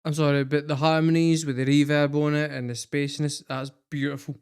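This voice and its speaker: A man's voice: fairly high pitch, level tone, brisk. A Scottish man hosting a music reaction video, chatting casually and swearing freely. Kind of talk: casual chat to camera.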